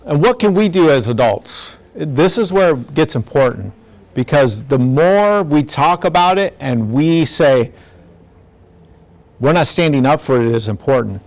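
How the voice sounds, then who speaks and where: A man's voice: on the high side, expressive, unhurried, darkish tone. A middle-aged man giving an informal, direct community talk to parents as a law enforcement speaker. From National City, San Diego area, United States. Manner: plainspoken, direct, acts out imagined dialogue.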